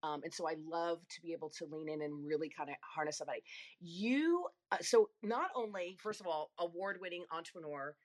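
Gushing excited woman co-host. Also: fairly low pitch, brisk. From Italy. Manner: gushing, excited, lots of fillers. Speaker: show co-host. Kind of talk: casual chat.

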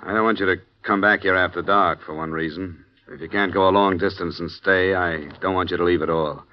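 A man's voice: sits low, fairly even intonation, a little fast, close to an everyday voice. An actor playing a tough male insurance investigator who speaks tersely and dryly, in a vintage radio drama. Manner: terse, dry, businesslike. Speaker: investigator and narrator. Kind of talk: 1950s radio drama acting. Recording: dull lo-fi vintage radio broadcast.

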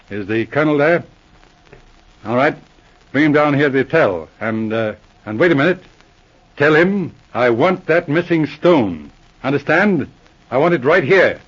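A brisk, commanding police inspector. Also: male voice, mid-range voice. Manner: crisp, commanding and matter-of-fact. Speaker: lead investigator. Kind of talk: 1940s radio acting. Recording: dull lo-fi 1940s radio recording.

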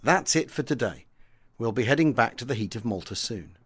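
A man's voice: medium pitch, expressive, brisk, slightly rough. A man with a British accent reading a first-person memoir aloud as a dramatic audiobook narrator.

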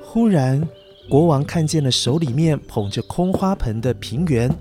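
A man's voice: fairly high pitch, expressive, normal speed, music in the background. A man hosting and narrating a children's story, addressing young listeners in a coaxing, explanatory way. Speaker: children's story podcast host.

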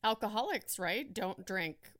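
A woman's voice: medium pitch, expressive, a little slow, a bit bright. A woman hosting a podcast interview, chatting easily and sharing her own experiences with her guest. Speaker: podcast host. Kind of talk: conversational interviewing.

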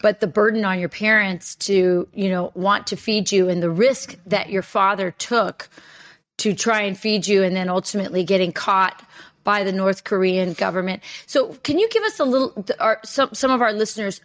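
A woman's voice: medium pitch, moderate pace, fairly bright tone. Chatty woman podcast co-host. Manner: chatty, with restarts and filler words. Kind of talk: conversational interview hosting.

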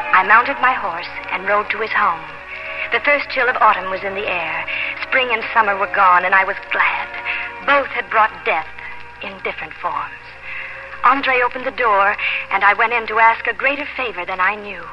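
A woman's voice: medium pitch, natural voice. An actress playing a determined young woman of the colonial frontier in a 1950s radio drama. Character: Nancy, determined young woman fleeing through colonial wilderness. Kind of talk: 1950s radio drama acting, first-person narration. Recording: dull vintage radio recording with music.

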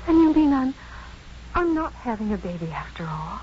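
An actress playing a distraught, vulnerable young woman patient in a 1950s radio drama, speaking in an emotional, halting way. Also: a little high, very animated. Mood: distressed. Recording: muffled, faintly noisy 1950s radio broadcast.

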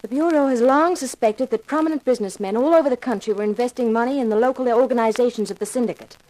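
Actress for businesslike woman agent in 1950s radio crime drama: formal, precise, composed, slightly high-pitched. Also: quick pace, darkish tone, natural voice, low-quality recording. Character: composed, businesslike female undercover federal agent.

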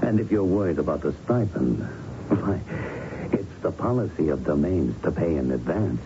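A man's voice: very deep voice, expressive, moderate pace, over music. An actor playing a slick, pushy mob go-between in a 1970s radio drama, talking in streetwise, wheedling salesman patter. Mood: pushy, persuasive. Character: slick, pushy, smooth-talking male mobster go-between, persuasive. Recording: dull, lightly noisy 1970s radio recording.

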